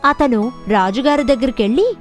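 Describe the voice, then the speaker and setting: A woman's voice: high-pitched, expressive, normal speed, soft background music. A woman narrating a scripted children's story as a storyteller.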